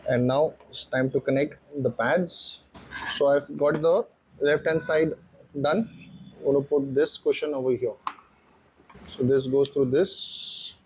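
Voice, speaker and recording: A man's voice: mid-range voice, fairly even intonation, a little slow, a little mellow, slight background noise, rough recording. A man presenting a casual vlog walkthrough, explaining things step by step. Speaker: motorcycle vlogger and presenter. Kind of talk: casual unboxing and installation walkthrough.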